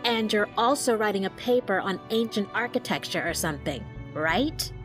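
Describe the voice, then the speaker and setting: A woman's voice: medium pitch, lively intonation, moderate pace, faint music behind, lightly acted. A woman playing a brash, restless young friend in an audio drama, slangy, blunt and playfully dramatic. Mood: casual, prodding.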